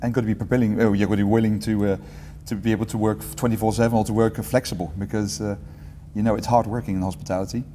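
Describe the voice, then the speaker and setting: A man in his forties, a teacher being interviewed, answering in a relaxed, rambling way with light humor and frequent self-corrections. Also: fairly low pitch, rapid-fire, hardly any pauses, big-room sound, a little hiss or hum. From England. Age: 40s. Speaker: guest, entrepreneurship teacher.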